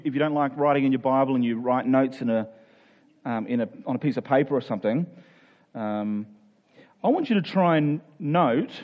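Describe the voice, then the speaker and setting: A man with a slightly high-set voice delivers a sermon in an informal, conversational style. He speaks as a preacher who explains things plainly to his congregation. The recording is somewhat dull and lo-fi. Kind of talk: conversational sermon with everyday illustrations, prayer and scripture reading.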